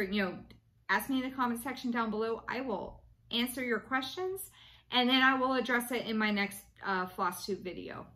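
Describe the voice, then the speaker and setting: Late-30s woman, chatty at-home vlogger, bright and forward voice, lots of ums and restarts. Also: mid-range voice. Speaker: cross-stitch vlogger. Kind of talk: casual chat to camera. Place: small room indoors at home.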